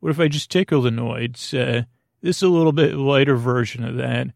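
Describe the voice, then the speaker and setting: A man hosting a sleep podcast, rambling in a gently silly, soothing way, halting and circling back on his words with a gravelly creak. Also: mid-range voice, dry recording, clean studio sound. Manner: meandering, halting, trailing off mid-word and circling back. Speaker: podcast host. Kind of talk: rambling bedtime-story monologue to help listeners fall asleep.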